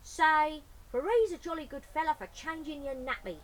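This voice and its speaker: A woman voicing a good-natured adult male burglar from a picture book, in a hearty, exclamatory manner with drawn-out emphatic words. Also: high voice, voice pushed up, lightly acted. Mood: coaxing. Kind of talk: picture-book read-aloud character voice.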